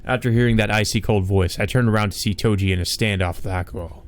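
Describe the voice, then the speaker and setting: A man's voice: fairly low pitch, fast. A man reading a visual novel aloud as a dramatic narrator for a let's play.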